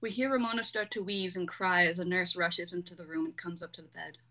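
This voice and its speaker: A young woman narrates a script, reading the stage directions aloud. Her voice sits slightly lower than most women's. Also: steady tone, brisk, pushed and bright. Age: young adult.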